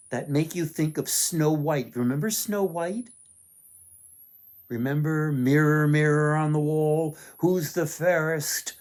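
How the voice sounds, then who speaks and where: A man tells a story for young listeners in a mellow voice. He goes slowly, breaking sentences into short phrases and leaning on key words for drama. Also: a little high. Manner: deliberate phrasing with dramatic emphasis and pauses. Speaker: author and storyteller. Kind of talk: storybook reading for children.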